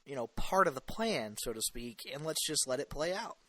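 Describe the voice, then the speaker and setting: A man's voice: medium pitch, very animated, normal speed, fairly bright tone, no echo. A man co-hosting a podcast, giving his opinions in casual fan discussion. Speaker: podcast co-host.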